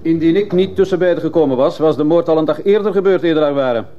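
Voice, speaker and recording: A man's voice: a little high, quick pace, natural voice. A man playing a dutiful, disapproving sergeant giving stiff, deferential testimony in a radio drama. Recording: muffled, hissy old radio play recording.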